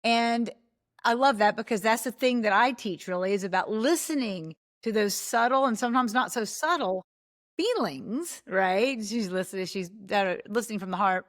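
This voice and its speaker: A woman's voice: medium pitch, normal speed, a bit bright. A woman hosting a podcast interview over a video call, enthusiastic and prompting her guest with questions. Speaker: podcast host and coach. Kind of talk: casual interview talk.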